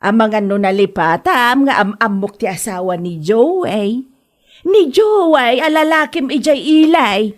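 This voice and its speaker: A woman's voice: fairly high pitch, animated, measured pace. A woman playing a stern village woman who reproaches others in an Ilocano comedy drama, with an Ilocano accent and an admonishing manner. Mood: reproachful. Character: stern scolding village woman rebuking a gossip.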